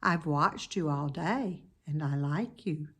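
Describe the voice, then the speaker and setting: Woman voicing a gentle, unhurried little spider. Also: deep voice, slightly rough, exaggerated voice. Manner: gentle, reassuring. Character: small, pleasant, kindly unseen spider. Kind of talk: children's storybook read-aloud.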